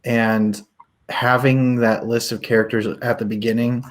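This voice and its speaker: A man's voice: medium pitch, level tone, a little slow. A man co-hosting a casual book talk show, rambling and hesitant, often laughing. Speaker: book podcast co-host.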